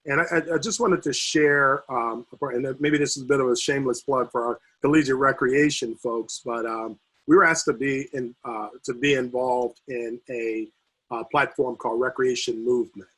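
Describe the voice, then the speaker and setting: A man speaking on a video call panel, losing his voice and talking haltingly with many fillers and restarts. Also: mid-range voice, steady tone, a bit bright, slightly rough, low-quality recording. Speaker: university recreation administrator.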